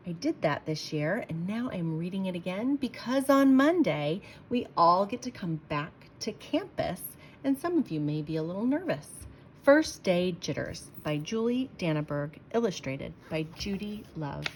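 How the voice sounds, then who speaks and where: A woman doing an expressive read-aloud for children, speaking to them directly like someone from their school. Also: on the low side, normal speed, slight background noise. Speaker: school staff member reading to pupils.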